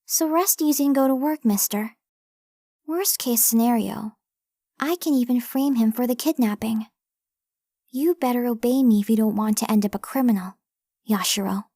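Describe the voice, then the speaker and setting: A woman playing a fiercely protective young girl in an anime dub, bossy and matter-of-fact even when threatening. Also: a little high, normal speed, dry recording, clean studio sound. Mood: smug threatening. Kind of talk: anime fan dub acting.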